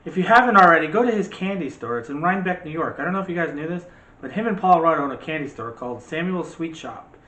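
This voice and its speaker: A man's voice: a little high, expressive, fast, sharp tone. A middle-aged man co-hosting a movie channel in a casual, rambling chat with a teasing streak. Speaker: co-host of a movie review channel. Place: room at home. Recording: roomy echo, faint background noise.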